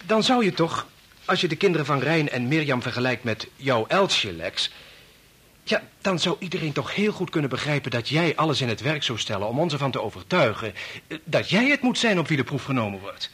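An actor playing a stubborn, persuasive bachelor in a Dutch radio play, wry, circling his point, with a slightly husky voice. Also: fairly high pitch, very animated, a little fast, fairly bright tone, heavily acted. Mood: insinuating. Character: idealistic unmarried man, teasing, stubborn, persuasive.

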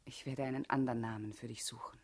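An actress playing a guarded, urgent female party comrade in a German radio play, speaking gravely and deliberately with a husky, intimate voice. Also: extremely low pitch, steady tone, a little mellow. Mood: urgent, pleading.

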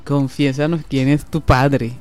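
A man hosting a radio show from a studio, explaining at length and pausing often on fillers. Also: medium pitch, little pitch movement, darkish tone, soft background music. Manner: slow, explanatory, halting with fillers. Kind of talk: radio talk and interview.

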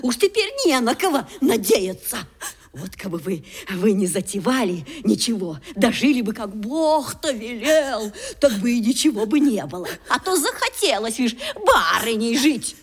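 A woman's voice: fairly high pitch, very animated, moderate pace, slightly rough. An actress playing a coarse, uneducated older stepmother in a radio play, scolding with sarcastic, wailing folksy nagging. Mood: scolding, sneering. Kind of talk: Russian radio play acting.

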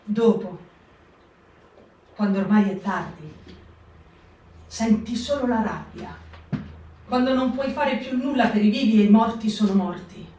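A woman reads in a slow, weighty voice, giving each phrase room. She sounds set back from the microphone in a roomy, echoing space. The style is a performed stage reading. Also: mid-range voice, mellow, slight background noise. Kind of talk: dramatic reading for radio. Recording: echoey stage reading from far off.

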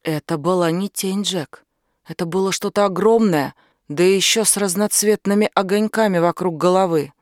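A woman's voice: on the low side, normal speed, no echo, clean studio sound, close to an everyday voice. A woman reading an audiobook as a shaken, stubborn and curious young woman who questions insistently. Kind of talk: audiobook character dialogue.

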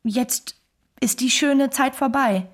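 A woman's voice: high voice, slow. An actress playing an earnest, ambitious Chinese wife recounting her marriage plainly in a documentary radio play. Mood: earnest, reflective.

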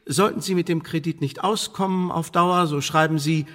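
A man acting in a radio play as a smooth, officious Elizabethan spymaster, speaking in a formal, measured, condescending way with veiled menace. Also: on the high side, fairly even intonation, fairly bright tone, lightly acted. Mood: officious, persuasive. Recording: studio radio drama with long reverb.

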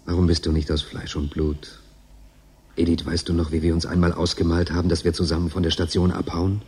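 A man's voice: low voice, little pitch movement, fairly quick, darkish tone, breathy edge. An actor playing a lonely, teasing male convict in a German radio drama, talking in an intimate, musing way. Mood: tender, wistful. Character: Tonio, lonely male station convict, yearning, teasing, bitter.

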